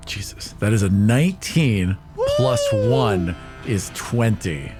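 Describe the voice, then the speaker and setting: A man's voice: fairly low pitch, big pitch swings, takes it slowly, loud music behind the voice. A man bantering casually as a podcast panelist and tabletop player.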